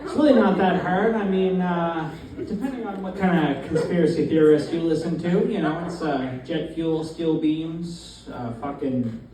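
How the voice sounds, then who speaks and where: A young man from Newfoundland doing a first stand-up set in a deadpan, halting way, with many fillers. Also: fairly high pitch. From Newfoundland, Canada. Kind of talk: open-mic stand-up comedy. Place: small comedy club, in front of a live crowd. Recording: very echoey club, distant mic, crowd. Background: audience laughter.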